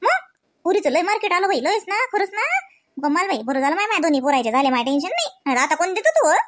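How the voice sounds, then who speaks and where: A high-pitched, chatty village auntie from a comedy cartoon, acted out by a woman. She talks in rustic Varhadi Marathi from Vidarbha. Her delivery is gossipy and full of exclamations. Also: very animated, quick pace. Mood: complaining.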